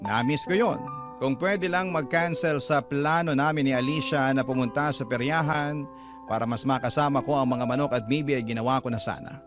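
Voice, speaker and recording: A music bed plays under a man's mid-pitched voice. He narrates a first-person story as a radio drama host. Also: moderate pace.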